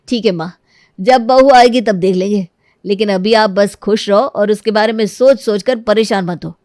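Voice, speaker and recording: A woman's voice: mid-range voice, unhurried, darkish tone, no echo, studio quality, lightly acted. A woman voicing a practical, patient grown son talking to his mother in a moral storybook tale. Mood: patient, amused. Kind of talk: animated moral storybook dialogue.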